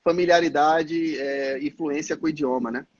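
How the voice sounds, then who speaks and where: A man, a missionary pastor answering interview questions in a measured, explanatory way with frequent filler sounds. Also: a little high, fairly even intonation, lo-fi sound. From Brazil, Salvador. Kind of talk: live-stream interview answers.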